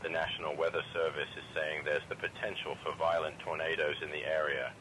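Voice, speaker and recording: A man's voice: very deep voice, level tone, brisk. A man playing a grave police dispatcher reading scripted urgent alerts over a noisy, humming radio. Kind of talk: scripted emergency radio announcement.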